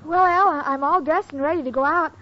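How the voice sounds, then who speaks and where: A woman's voice: high voice, brisk, close to an everyday voice. An actress playing a sweet, naive, dim young girlfriend in an old radio comedy, eager and guileless. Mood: eager, innocent. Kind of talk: 1940s-50s radio comedy acting. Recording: noisy, muffled radio before studio audience.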